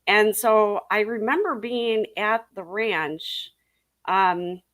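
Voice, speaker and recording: A woman's voice: medium pitch, takes it slowly, a bit bright. A middle-aged woman talking informally on a panel, chatty and repeating points for emphasis. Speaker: urology clinic panelist. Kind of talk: casual panel chat.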